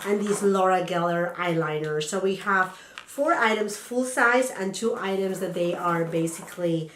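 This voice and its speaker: A young woman vlogger chatting through a beauty box unboxing, her voice a little raspy from a cold, enthusiastic and rambling. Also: on the low side, moderate pace, a bit bright, a little hiss or hum. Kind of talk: casual unboxing chat. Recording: echoey room.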